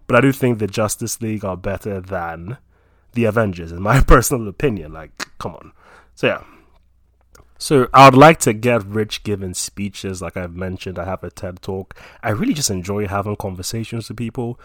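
A man's voice: fairly low pitch, moderate pace, no echo. A young man from Nigeria in his twenties, chatting casually about himself with lots of fillers. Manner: relaxed, rambling with many fillers.

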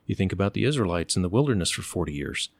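A middle-aged man from the United States, telling stories in an unhurried, thoughtful way and pausing to find his words. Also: low voice, very animated, gravelly, husky, dry recording. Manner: unhurried, thoughtful, often pauses to search for words. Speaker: interview guest. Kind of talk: relaxed conversational storytelling.

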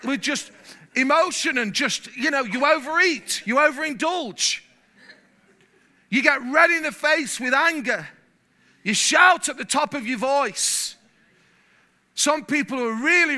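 A man from England preaching a sermon in church, animated, repeating words and getting louder as he warms up. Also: extremely high pitch. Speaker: pastor. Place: church hall.

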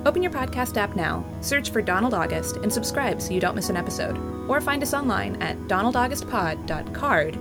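A woman, writer and host of a podcast, reading a scripted promo in a bright, upbeat, clearly enunciated way. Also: mid-range voice, expressive, a little fast, hardly any pauses. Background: music.

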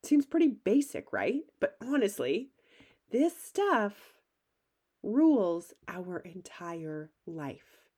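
An enthusiastic woman coach talks through her own podcast episode, her voice roughened slightly by a cold. Her pitch swings widely as she chats, casual and encouraging. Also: big pitch swings, slow pace, mellow. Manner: upbeat, enthusiastic, conversational. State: has a cold, slightly gravelly. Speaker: podcast host and life coach. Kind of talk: solo podcast monologue.

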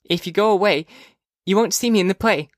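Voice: mid-range voice, brisk, no echo, studio quality, voice pushed up, lightly acted. A narrator voicing a young girl, an earnest, blunt and chatty kid sister, in an audiobook. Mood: earnest, hushed pleading. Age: child figure. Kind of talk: audiobook character dialogue.

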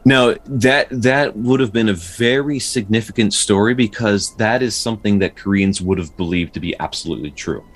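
A man talking casually and explaining folklore as a podcast co-host, often stumbling and repeating words as he goes. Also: on the low side. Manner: halting, with repeated words and stutters. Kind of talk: casual explanatory discussion of folktales.

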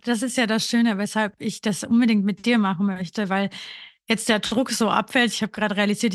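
Female co-host around thirty, medium pitch, dry recording, casual personal chat. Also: no echo. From Burgenland, Austria. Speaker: podcast co-host. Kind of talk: casual personal conversational talk.

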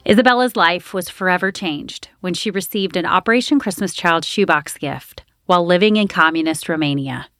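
A woman's voice: fairly low pitch, fairly bright tone. A woman hosting a faith ministry interview, asking encouraging questions. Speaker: host.

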